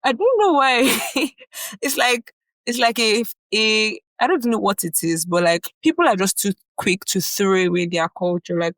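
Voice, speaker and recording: A young Nigerian woman with a Nigerian accent, co-hosting a podcast in a chatty, animated way, often laughing and filling with "like" and "you know". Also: mid-range voice, normal speed, no room sound. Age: young adult. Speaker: podcast co-host. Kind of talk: casual chat.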